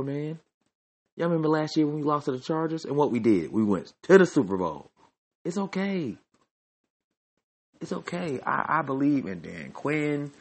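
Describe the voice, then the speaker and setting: Animated husky man ranting on a podcast. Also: mid-range voice. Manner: animated, repetitive, joking. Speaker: podcast host. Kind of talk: comedic sports rant.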